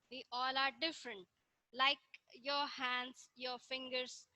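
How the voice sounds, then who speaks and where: An Indian English-accented woman teaches a science class online, speaking to the children and tagging points with "isn't it?". Her voice sits high and bright. The sound is dry and close, with no room echo. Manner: frequent "isn't it?" tag questions, talks to children directly.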